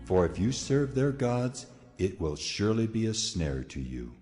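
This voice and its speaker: An actor playing God in a dramatized scripture reading, solemn, majestic and authoritative, with measured delivery. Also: male voice, fairly low pitch, very animated. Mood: stern, commanding. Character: God, a solemn, commanding, majestic male deity. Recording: long cavernous reverb over soft music.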